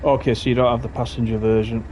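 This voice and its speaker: A man's voice: medium pitch, level tone, fast, darkish tone. A man with a Lancashire accent, giving casual running commentary as he live-streams a game, chatting in rambling asides that he often corrects midway. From Lancashire, England. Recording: train engine noise behind voice. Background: simulated train engine and rail sounds.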